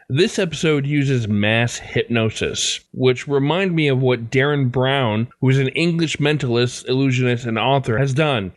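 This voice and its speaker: A man's voice: medium pitch, no room sound, studio quality. A man hosting a podcast, reading scripted remarks and sign-off to listeners. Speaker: podcast host.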